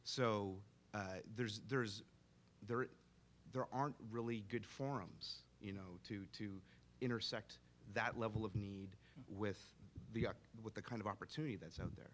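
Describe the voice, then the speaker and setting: A man with a low, grounded voice speaks on a public forum panel as a city technology advisor. He talks candidly and thinks out loud, often restarting his sentences as he works out his point. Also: fairly even intonation, moderate pace, a little hiss or hum. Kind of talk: panel discussion.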